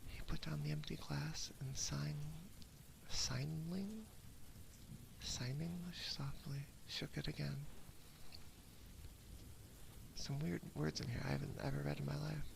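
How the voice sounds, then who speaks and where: Hushed, very creaky male ASMR reader. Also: fairly high pitch, fairly even intonation, unhurried, background noise. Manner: hushed, half-whispered, close delivery. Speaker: ASMR streamer reading a story aloud. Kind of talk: ASMR reading of a classic horror short story. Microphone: binaural ASMR microphone, very close.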